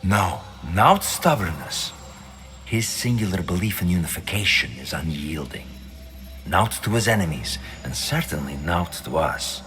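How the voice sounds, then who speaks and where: An actor playing a cold, superior villainous mastermind in a video game cutscene, speaking in a measured, eloquent, menacing way. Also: male voice, low voice, expressive, a bit bright, faint music behind. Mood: calculating, menacing. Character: cold, calculating Templar mastermind, a grown man, coolly superior.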